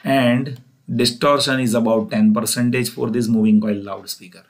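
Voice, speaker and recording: Male lecturer, Indian accent, mid-pitched voice, methodical and didactic explainer delivery. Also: fairly even intonation, normal speed. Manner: didactic, repetitive step-by-step phrasing, often opening with "so". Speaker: engineering lecturer. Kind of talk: explanatory narrated lecture over slides.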